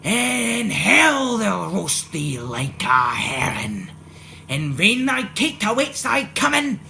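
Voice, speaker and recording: Scottish man reciting verse, very animated delivery. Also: high-pitched, takes it slowly, creaky, slight background noise. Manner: theatrical, expressive, swinging from hushed to loud. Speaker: poetry reciter. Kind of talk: dramatic recitation of a Scots narrative poem.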